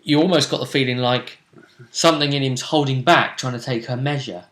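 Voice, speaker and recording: A British man hosting a tabletop role-play vidcast, recapping a game casually in a halting way, repeating himself and trailing off. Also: medium pitch, fairly bright tone. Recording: roomy, echoing sound.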